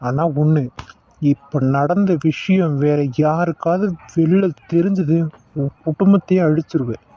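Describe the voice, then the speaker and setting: A man voicing a corrupt male doctor who speaks in a slow, low and intimidating way. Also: dull audio. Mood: threatening. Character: menacing corrupt male doctor, cold and threatening.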